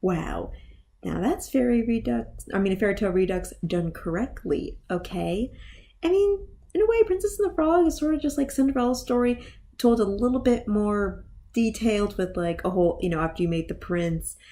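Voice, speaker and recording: A woman's voice: on the low side, mellow, a little hiss or hum. A woman giving a casual, opinionated movie review in a blunt, exasperated manner, with sighs and groans.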